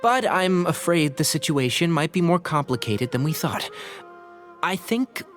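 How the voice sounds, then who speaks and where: A man's voice: fairly high pitch, expressive, measured pace, a bit bright, soft background music. A man voicing an earnest, thoughtful young scholar in a video game, polite and careful. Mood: polite, cautious. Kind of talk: English video game dub acting.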